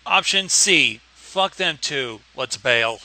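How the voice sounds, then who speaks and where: A man co-hosting a livestream, throwing out loud, exasperated, sweary commentary on a story being read. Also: a little high, lively intonation, slow pace. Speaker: livestream co-host.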